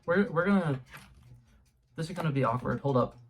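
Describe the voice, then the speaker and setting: A man's voice: on the high side, fairly quick, heavy background noise. A young man from New England hosting a casual taste-test vlog at home, chatty and rambling with lots of ums and restarts. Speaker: YouTube host. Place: home room with a color-changing globe light.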